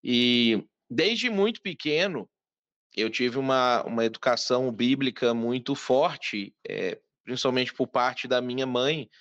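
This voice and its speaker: A man in his late thirties from Minas Gerais, a pastor, speaks in an earnest, measured way and often restarts his phrases. His audio is dry and dull, with no room sound. He sits at a mid pitch. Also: lively intonation, fairly bright tone, no room sound. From Brazil, Minas Gerais. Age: 30s. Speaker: pastor, guest. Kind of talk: interview, telling his life story.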